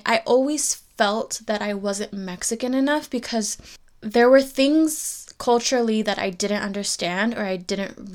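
A woman's voice: medium pitch, clear, resonant tone. A woman in her twenties hosting a podcast, telling a personal story in a candid, reflective way and speaking to her listeners directly. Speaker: podcast host.

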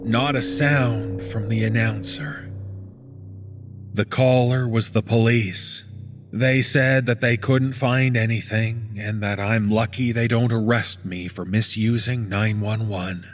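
A man reading a scripted first-person horror story in a measured, deliberate storytelling manner. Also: lowish voice, fairly even intonation. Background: background music.

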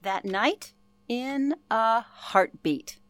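A woman playing a gracious elderly lady in a radio play, speaking politely and gently as she looks back. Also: on the high side, very slow, no room sound. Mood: gracious, warm. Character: gracious elderly woman reminiscing about a night in 1938.

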